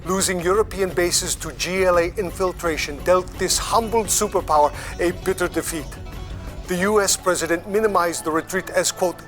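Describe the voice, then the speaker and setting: A smug propagandist anchorman acted by a man for a strategy game's news bulletin. His voice sits on the high side and he declaims grandly. Prominent music plays behind him. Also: natural voice. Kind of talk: scripted TV news broadcast acting.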